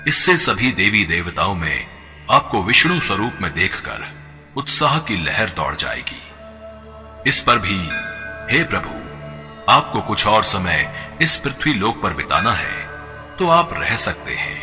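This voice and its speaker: A man voicing an elderly god of death in a devotional cartoon, speaking in a solemn, measured, formal way. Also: fairly low pitch, big pitch swings, full character voice. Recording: long reverb with background music.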